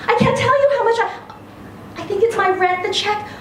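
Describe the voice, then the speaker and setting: A woman's voice: very high voice, normal speed, pitched above the performer's own voice. A woman storyteller voicing a young female con artist, flustered, stammering and gushing with pleading gratitude. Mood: pleading, grateful. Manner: breathless, stammering, gushing apologies. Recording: echoey room, distant mic, faint noise.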